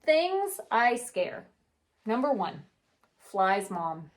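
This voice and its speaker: Slow-paced woman reading a children's storybook. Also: mid-range voice. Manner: animated, drawn-out storybook delivery. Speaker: teacher reading a picture book aloud for pupils. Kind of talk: expressive children's read-aloud with chatty asides.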